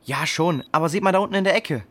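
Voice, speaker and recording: A keen boy detective with a fairly high male voice, acted by a man in a children's audio play. He is earnest and inquisitive, puzzling things out aloud. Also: fast, a bit bright, natural voice. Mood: keen, explaining. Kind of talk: children's audio drama acting.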